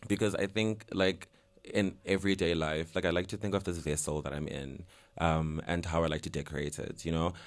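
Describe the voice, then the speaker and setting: A young man with an extremely deep voice, from Zimbabwe, talking loosely in a radio interview. He wanders through his thoughts, filling gaps with "like" and "um", and laughs easily. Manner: rambling, heavy use of "like" and "um", laughs often. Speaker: guest artist. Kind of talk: casual interview talk.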